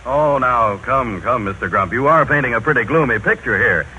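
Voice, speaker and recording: Bright, emphatic 1930s radio announcer, man. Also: medium pitch, lively intonation, normal speed. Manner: emphatic, persuasive, carefully phrased. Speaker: radio announcer reading a sponsor advert. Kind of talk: 1930s scripted radio advertising. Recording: scratchy, dull 1930s radio recording.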